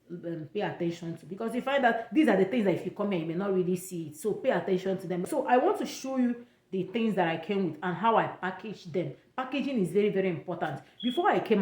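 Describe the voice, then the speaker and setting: A woman's voice: medium pitch, darkish tone, echoey room. A young woman from Nigeria with an Igbo accent, giving chatty, repetitive travel advice in a casual vlog. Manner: chatty, repeats points, pidgin touches.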